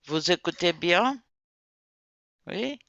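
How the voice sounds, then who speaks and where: A man teaching a classroom language lesson, speaking slowly and patiently and repeating words for his students to drill. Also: sits high, lively intonation, sharp tone, no room sound, lo-fi sound. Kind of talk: classroom instruction.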